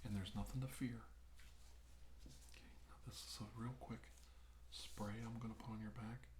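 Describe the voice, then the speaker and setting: A man playing a calm, reassuring military doctor in an ASMR medical role-play, speaking softly and slowly and often slipping into whispers. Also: fairly low pitch, lightly acted. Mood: calm, earnest. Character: calm reassuring male military doctor examining an alien abductee. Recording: roomy echo with background hiss.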